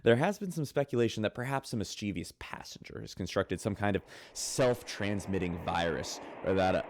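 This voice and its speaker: An actor playing a chatty, gossipy radio show host on a spaceship in an audio drama. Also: male voice, lowish voice, animated, a little fast, a little mellow, a little hiss or hum. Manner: chatty, gossipy announcer delivery. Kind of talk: radio drama acting as a broadcaster.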